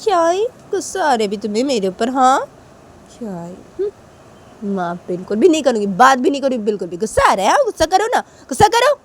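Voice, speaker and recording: A woman's voice: sits high, sing-song, fast, hardly any pauses, mellow, pitched above the performer's own voice. A woman voicing a defiant young wife who taunts and refuses to forgive. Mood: taunting.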